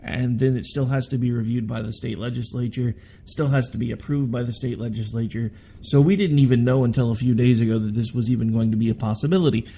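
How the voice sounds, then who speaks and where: A radio host in his forties, worn down by long weeks of work, talks alone to his listeners in a loose, rambling way. His voice sits in a middle register with a mellow, darker color. A faint hum runs under the recording. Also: mid-range voice, fairly even intonation, fast, vocal fry. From Oklahoma, United States. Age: 40s. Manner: rambling and candid, with asides and self-corrections. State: tired from overwork. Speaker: radio talk show host. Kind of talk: solo political talk radio monologue.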